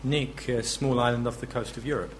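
A man's voice: medium pitch, steady tone, fairly quick, slight background noise. A man in the audience asking a wry, teasing question.

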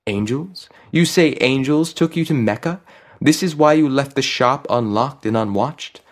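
Storybook nagging wife, played by a man: raised pitch, scornful, sarcastic, incredulous. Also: measured pace, close to an everyday voice. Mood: scornful disbelief. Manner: nagging, sarcastic rhetorical questions. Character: scolding, scornful, incredulous middle-aged shopkeeper's wife. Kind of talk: audio short story character reading.

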